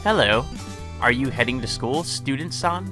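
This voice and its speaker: A man's voice: lively intonation, moderate pace, music in the background, close to an everyday voice. A man voicing a cheerful, playful young vampire woman in a visual novel reading, in a raised, teasing voice. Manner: teasing, bubbly, raised pitch.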